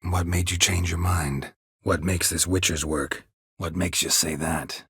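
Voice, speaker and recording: An actor playing a gruff, stoic, sardonic middle-aged monster hunter in a video game, a gravelly rasp and terse, deadpan delivery. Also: male voice, low voice, measured pace, dry recording, studio quality, full character voice. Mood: dry, questioning. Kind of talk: video game character dialogue.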